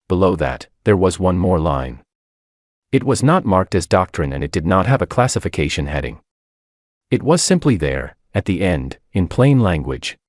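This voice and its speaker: A man reading a science fiction audiobook as a narrator, in a measured, solemn storytelling manner. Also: deep voice, big pitch swings, dry recording, studio quality.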